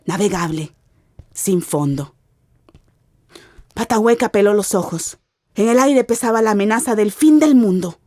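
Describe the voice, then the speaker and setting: A woman narrates a literary radio drama in a dry, close recording. Her storytelling is theatrical and ominous, set at a medium pitch. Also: animated, unhurried, pauses often, mellow, dry recording.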